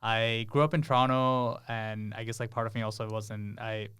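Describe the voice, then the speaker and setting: A man's voice: fairly low pitch, flat delivery, normal speed, a bit bright, clean tone. A young man from Bangladesh, a podcast guest, talking casually and explaining with frequent laughs and fillers. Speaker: podcast guest, software engineer.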